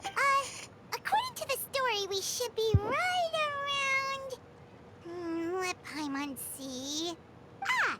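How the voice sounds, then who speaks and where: A woman voicing a tiny, excitable female floating companion in a video game who talks about herself in the third person. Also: very high-pitched, slow, very noisy, heavily acted. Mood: excited.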